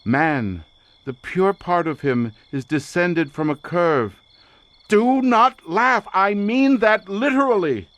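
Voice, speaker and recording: A haunted researcher in a horror audio drama. His fairly high voice is dry and close, with no room around it. He declaims in a feverish, portentous way, grandiose and near madness. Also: animated, slow pace, a bit bright, no room sound, heavily acted. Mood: haunted, fervent. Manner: theatrical, portentous, fervent declamation.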